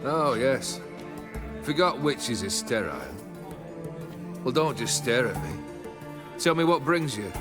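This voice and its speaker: A man's voice: mid-range voice, lively intonation, unhurried, long pauses, over music, close to an everyday voice. An actor playing a haughty, skeptical nobleman in a video game, mocking and imperious. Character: haughty, sardonic cursed nobleman, male, skeptical.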